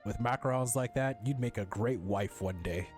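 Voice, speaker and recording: A young man gives casual gameplay commentary in a medium-pitched voice. He is profane, joking and incredulous, with faint game music behind him. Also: moderate pace. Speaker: game streamer.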